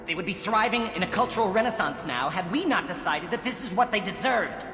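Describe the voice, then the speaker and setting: A man's voice: sits high, expressive, fairly quick, pushed and bright, full character voice. A man playing a self-righteous, idealistic alien scientist in a video game, arguing in an earnest, accusing manner. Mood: impassioned. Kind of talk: video game cutscene acting. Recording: echoey hall reverb under clear background music.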